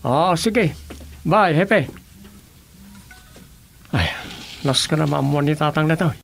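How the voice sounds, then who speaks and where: An actor playing an earnest, deferential village official in a comedy radio drama, with an Ilocano Filipino accent. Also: male voice, on the high side, takes it slowly, gravelly, heavily acted. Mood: worried.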